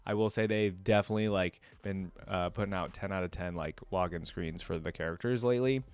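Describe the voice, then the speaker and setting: A man hosting a reaction video, thinking out loud in casual, informal and enthusiastic commentary. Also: low voice, a little fast, smooth. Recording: music playing behind the voice. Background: game login music.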